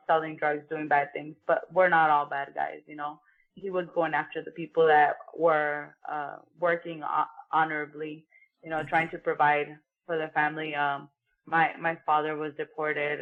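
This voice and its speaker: A woman from Mexico, a professional boxer, talking earnestly as a guest in an interview and often saying "you know". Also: low voice. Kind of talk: casual interview conversation. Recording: tinny phone-like remote line.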